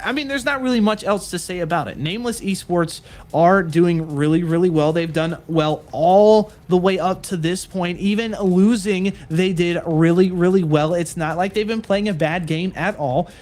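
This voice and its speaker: A man's voice: a little high, darkish tone. A man casting a live esports stream, talking in earnest, repetitive hype with some fillers. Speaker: esports caster.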